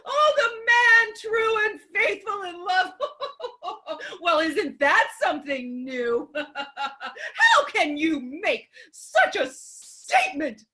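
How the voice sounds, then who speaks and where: A woman's voice: very high-pitched, sing-song, very slow, pauses often, bright, forceful tone, exaggerated voice. A woman playing an indignant, haughty young lady with a prim, theatrical, emphatic delivery in a stage comedy reading. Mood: scornful amusement.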